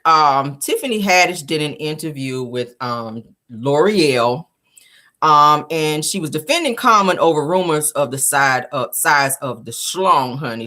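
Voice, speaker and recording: A woman's voice: sits low, pushed and bright. A woman hosting a celebrity gossip show, talking to viewers in an informal, slangy, chatty way. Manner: informal, slangy, addressing viewers as guys and honey. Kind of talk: casual chatty news commentary to viewers.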